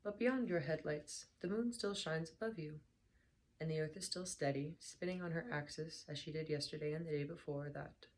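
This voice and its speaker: A young woman with a hoarse, slightly lost voice, sounding tired, chatting casually and then reading a book aloud softly. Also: low-pitched, a little hiss or hum, poor audio. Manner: tired, soft and unhurried. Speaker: book reader vlogger.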